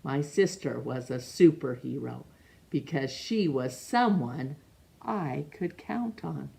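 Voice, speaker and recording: A woman reading a picture book aloud to children, expressive and pausing to ask young listeners questions. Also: very deep voice, gravelly. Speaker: storytime reader.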